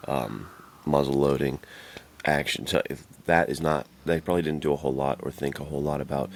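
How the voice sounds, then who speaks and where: A man's voice: rumbling low, steady tone, a little fast, a little hiss or hum. A man co-hosting a podcast in casual, informal chat with plenty of slang. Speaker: podcast co-host.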